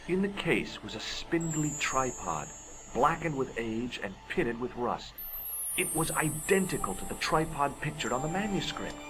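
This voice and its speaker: An actor playing a curious, dryly sarcastic young amateur sleuth in an adventure game. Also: male voice, mid-range voice, sing-song, moderate pace, lightly acted. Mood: narrating. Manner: dry, sarcastic. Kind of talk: adventure game voice acting, part narration. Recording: noisy game audio capture.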